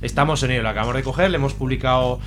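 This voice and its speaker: Fast-talking joking man, Spain accent. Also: mid-range voice, music in the background. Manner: joking, colloquial. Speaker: comic publisher, radio guest. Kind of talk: casual chat.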